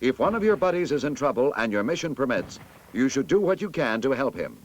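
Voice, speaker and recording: American man narrating, animated instructional delivery. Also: medium pitch, brisk, slight background noise. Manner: authoritative, instructive, clearly enunciated. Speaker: narrator of a military training film. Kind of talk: scripted instructional narration, vintage army training film.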